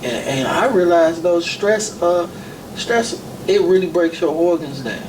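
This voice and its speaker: A man's voice: fairly high pitch, a little slow. A man around fifty hosting a podcast, chatting in a loose, laid-back way with lots of fillers. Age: 50s. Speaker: podcast host. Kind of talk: casual chat. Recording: noisy, distant mic.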